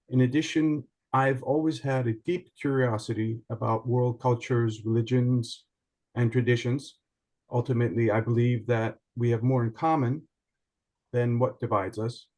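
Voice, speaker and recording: A man from Iceland giving a prepared self-introduction in an online meeting, measured and articulate with formal wording. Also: medium pitch, a little mellow, low-quality recording. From Iceland, raised partly in other countries. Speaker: participant, journalist and writer. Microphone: online video call.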